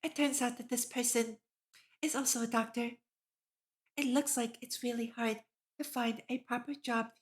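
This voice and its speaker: A woman's voice: on the high side, steady tone, normal speed. A woman streamer reading a visual novel aloud, narrating and reacting as she goes. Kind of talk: livestream read-aloud with character voices and reactions.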